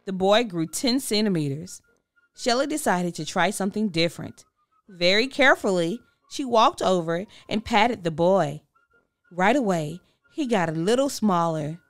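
A woman reading a children's book aloud with expressive, deliberate storytelling. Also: mid-range voice, no echo, soft background music. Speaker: storybook reader. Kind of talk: children's read-aloud narration.

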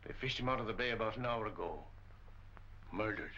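Plain talking american cop sergeant, sharp bright voice, muffled 1940s movie. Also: male voice, fairly low pitch, a little fast. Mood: sober. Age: middle-aged. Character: plain-spoken middle-aged police desk sergeant. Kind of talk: 1940s film acting. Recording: dull, band-limited 1940s film soundtrack.

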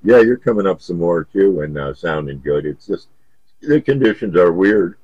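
A man's voice: low-pitched, lively intonation, normal speed, breathy edge, no echo, muffled recording. A man chatting casually over CB radio in an easygoing, folksy, hesitant way. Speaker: CB radio operator. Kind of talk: casual radio contact chat.

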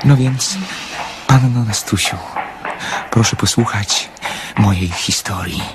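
An actor playing a lonely, shy, lyrical dreamer in a radio drama, with a hesitant, theatrical delivery. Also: male voice, fairly low pitch, takes it slowly, very noisy. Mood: dreamy, lyrical. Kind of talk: Polish radio drama acting.

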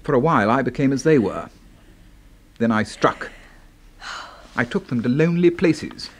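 An actor with an English accent playing a pompous, deranged military boss in a 1970s radio horror drama, clipped and commanding. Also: male voice, medium pitch, expressive, moderate pace, natural voice. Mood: grandiose, menacing. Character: pompous, deranged brigadier boss, secretly a serial killer.

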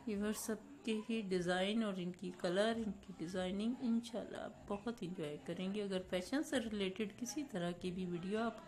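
A woman's voice: on the high side, a little mellow. Devout woman hosting a fashion channel. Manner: formal, devout phrasing with frequent blessings. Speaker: channel host. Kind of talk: scripted voice-over showcase.

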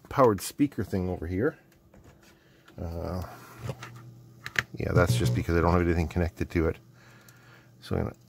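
A man's voice: deep voice, creaky. A middle-aged man giving casual, unscripted commentary at a workbench, rambling and muttering asides to himself as he goes. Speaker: host of an electronics mailbag video. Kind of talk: casual unscripted bench commentary while unboxing and testing gadgets. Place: indoor electronics workbench.

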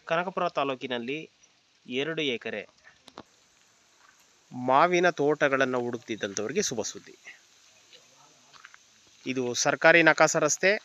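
Slow-talking man with a bright, forceful voice. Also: medium pitch, lo-fi sound. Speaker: property agent presenting a farm for sale. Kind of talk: property video narration, sales presentation.

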